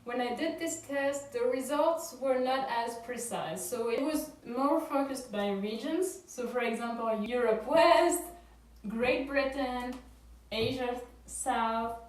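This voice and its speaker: A young woman from France co-hosts a vlog, calmly talking through things at an even pace. Her voice sits fairly high. It is recorded from some distance in a very echoey room. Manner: calm, measured explaining. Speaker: vlog co-host. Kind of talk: casual chat.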